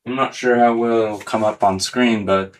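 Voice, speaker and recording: A man's voice: lowish voice, steady tone, moderate pace. A man hosting a casual hands-on tech demonstration video, talking through each step as he goes. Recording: clearly noisy recording with clicks.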